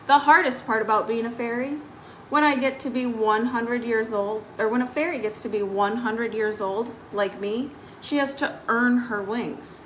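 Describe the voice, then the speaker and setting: A woman's voice: a little high, normal speed, a little hiss or hum, natural voice, rough recording. A woman voicing a spirited, grumbling tomboy fairy from a storybook, telling her tale expressively. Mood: defiant. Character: spirited tomboy fairy, hundred years old, grumbling about dainty rules.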